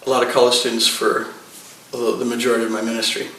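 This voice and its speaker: Earnest pastor, distant and echoey. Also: male voice, medium pitch, completely flat delivery, very fast, pushed and bright. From United States. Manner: earnest, rambling, many fillers and restarts. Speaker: pastor and political candidate. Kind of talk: conversational interview answers. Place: living room of his house. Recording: echoey room, mic at a distance, faint background noise.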